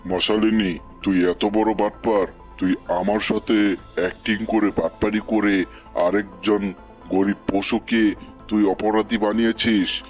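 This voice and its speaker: A man's voice: fairly low pitch, little pitch movement, unhurried, soft background music, close to an everyday voice. A man playing a stern, indignant animal chief of a cartoon forest, scolding with authority. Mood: angry, condemning. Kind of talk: cartoon dialogue.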